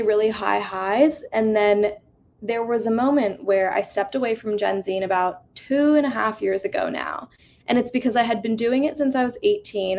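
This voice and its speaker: A woman's voice: medium pitch, creaky. A woman in her twenties giving an earnest, reflective talk with slides on a video call, with many fillers. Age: 20s. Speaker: conference speaker. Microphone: video call mic.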